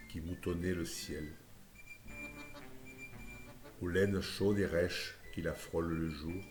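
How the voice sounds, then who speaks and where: A man reciting a scripted poem in a lyrical, measured way, phrase by phrase. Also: deep voice, darkish tone. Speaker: poetry reciter. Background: music.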